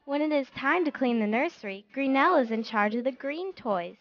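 High-voiced woman narrating for children, dull audio. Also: lively intonation, fairly quick, dry recording. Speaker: narrator of a children's learning game. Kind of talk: scripted narration of instructions for young children.

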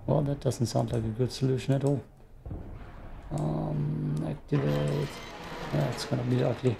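A man's voice: medium pitch, steady tone, unhurried, vocal fry, over music. A man with an Austrian accent casually commenting on a horror game as he plays, muttering frustrated asides to himself. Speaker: gameplay streamer. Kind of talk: casual let's play commentary.

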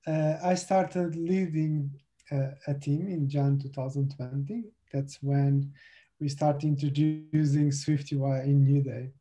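Portuguese-accented young guy on a video call, dark mellow voice, short plain intro. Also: fairly high pitch, flat delivery, takes it slowly. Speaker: presenter, senior iOS specialist. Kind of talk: self-introduction in a webinar.

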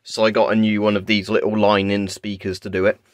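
A man's voice: fairly low pitch, level tone, brisk, no echo, studio quality. A man talking casually and off the cuff as he demonstrates a gadget on camera in a room at home. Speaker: video creator demonstrating gadgets. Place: small carpeted room at home, indoors. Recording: clear background noise, clicking device. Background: Geiger counter clicks and crackle.

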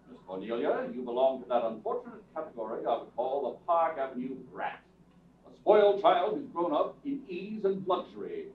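An actor in a radio theatre show playing a courteous, dignified butler with a wry streak and measured, formal speech. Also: male voice, medium pitch, lightly acted. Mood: stern rebuke. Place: theatre stage before an audience. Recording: distant echoey stage, tinny, faint noise.

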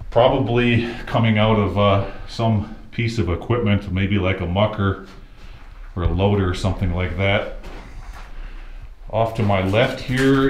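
Guy exploring an old mine, slow easygoing talk, echoey tunnel sound. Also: lowish voice. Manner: slow, easygoing, talks to viewers and his companion. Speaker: mine explorer and channel host. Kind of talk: walking-tour vlog narration. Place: large abandoned underground mine. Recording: echoey underground tunnel, faint noise.

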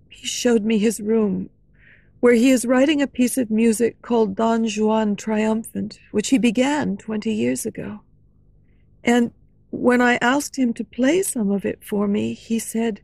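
A woman's voice: a little high, fairly even intonation, a little slow, creaky, a little mellow, dry recording. A woman reading as a frightened young female singer telling her lover a terrible story. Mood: recounting. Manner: earnest, fearful storytelling.

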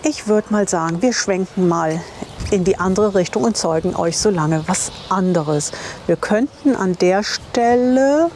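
A woman's voice: mid-range voice, animated, a little slow, a little mellow. A woman gives a chatty, enthusiastic guided tour to camera as a travel vlogger, teasing now and then, on a clip-on microphone. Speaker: camper van travel vlogger and presenter. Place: campsite, outdoors and in small tiled rooms. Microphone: clip-on lapel microphone. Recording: clear ambient noise on location.

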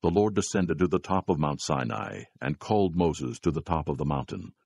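A man narrating scripture from a script, with a gravelly voice and a measured, grave delivery. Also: extremely low pitch, expressive, a little mellow, no echo.

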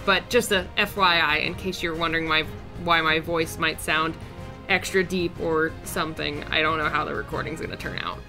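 A young woman with a sore, raspy throat chatting in a casual voice-over as an art channel host, upbeat and rambling with small fillers. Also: low voice, steady tone, moderate pace, over music. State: sore throat, raspy and deeper than usual. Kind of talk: casual voice-over narration of a drawing timelapse.

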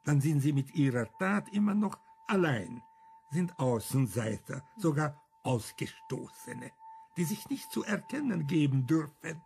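An embittered older survivor in a radio crime play. His fairly high, creaky voice swings widely as he argues his principles emphatically, with faint music underneath. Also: sing-song, a little slow, darkish tone, slight background noise, heavily acted. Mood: agitated, arguing. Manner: emphatic, argumentative, rhetorical questions. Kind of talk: German radio crime drama acting.

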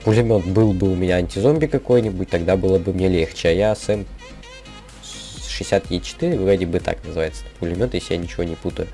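A young man with a slightly sick voice giving casual, rambling game commentary in halting gamer slang over background music. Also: sits low, warm tone. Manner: informal gamer slang, halting, thinking aloud. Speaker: gaming video creator. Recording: music behind the voice.

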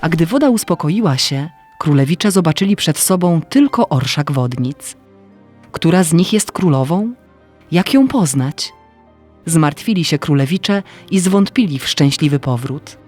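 A woman's voice: sits low, animated, unhurried, a little mellow, soft background music. A woman reading a scripted fairy tale as narrator.

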